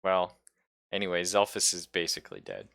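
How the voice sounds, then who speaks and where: A man's voice: deep voice, very animated, moderate pace, creaky, a bit bright, no echo. A man casually narrating a tabletop roleplaying game as game master, slipping into characters' lines and swearing.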